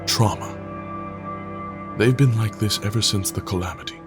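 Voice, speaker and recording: A man's voice: lowish voice, moderate pace, natural voice. An actor playing a proud, guarded male paladin leader who speaks tersely. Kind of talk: audio drama acting. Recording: music bed underneath.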